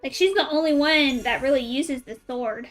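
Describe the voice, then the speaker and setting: A woman's voice: high voice, normal speed, fairly bright tone, soft background music. A woman chatting casually on a game livestream, thinking aloud informally and putting questions to her viewers. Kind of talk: casual livestream chat with viewers while playing.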